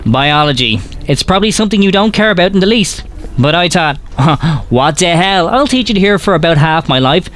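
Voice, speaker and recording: An actor playing an arrogant, caustic science professor in a comic audio drama, lecturing in a pompous, sardonic and wordy way. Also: male voice, fairly high pitch, animated, moderate pace, fairly bright tone.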